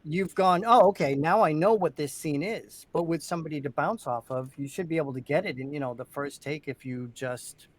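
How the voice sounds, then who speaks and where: A man's voice: fairly high pitch, fairly quick, hardly any pauses, no echo. A man who does voice acting, talking casually and explaining how he works. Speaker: voice actor. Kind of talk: casual chat. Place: indoors, on a group video call.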